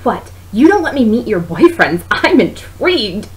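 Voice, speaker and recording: A woman's voice: a little high, sing-song, moderate pace. A woman voicing a nosy, teasing best friend who is a young mother, in a story reading. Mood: intrigued. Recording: noisy home recording.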